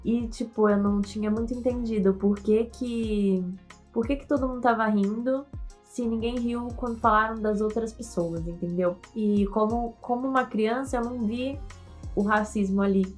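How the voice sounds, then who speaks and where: An eighteen-year-old woman tells her story to the camera in a casual, conversational way, pausing now and then to find the right words. Soft music plays quietly behind her. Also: a little high, fairly even intonation. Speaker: university student. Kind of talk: personal testimony to camera.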